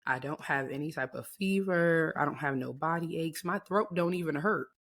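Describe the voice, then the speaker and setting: Woman co-host, deep hoarse voice from a cold, laid-back casual chat. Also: normal speed. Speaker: podcast co-host.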